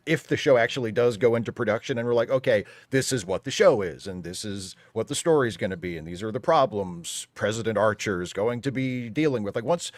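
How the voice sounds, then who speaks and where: A man's voice: on the low side, expressive, fairly quick, hardly any pauses, dry recording. Wry, skeptical man, dry close sound. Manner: wry, skeptical, qualifies his points. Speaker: panel guest commentator. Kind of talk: casual opinionated discussion.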